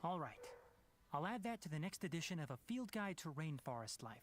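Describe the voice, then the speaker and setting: An actor playing a calm, studious young male researcher in a video game trailer, musing aloud in a measured, scholarly way. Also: a little high. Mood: musing, matter-of-fact. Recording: loud music over the voice.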